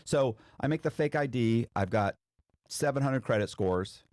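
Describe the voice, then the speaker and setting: A man's voice: mid-range voice, moderate pace, darkish tone, no echo, studio quality. A man speaking as an interview guest, telling a story casually and slangily, acting out what people said. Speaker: interview guest recounting past fraud.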